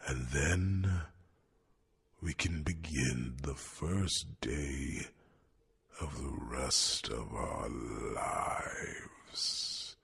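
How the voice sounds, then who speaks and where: A man doing an ancient gravelly dragon mentor. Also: heavily acted. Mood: encouraging. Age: older. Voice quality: deep gravelly voice with breathy whispers. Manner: slow, chuckling, gently teasing. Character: ancient wise male dragon mentor, fond and teasing. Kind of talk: ASMR fantasy roleplay.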